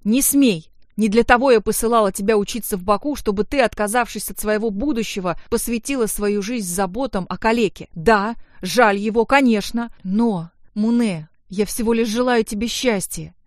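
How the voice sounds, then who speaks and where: A woman's voice: medium pitch, moderate pace, darkish tone, no echo, studio quality, close to an everyday voice. A woman voicing a stern, protective middle-aged mother in an audiobook, grumbling and forbidding. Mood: forbidding, insistent. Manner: grumbling, commanding. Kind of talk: acted audiobook dialogue.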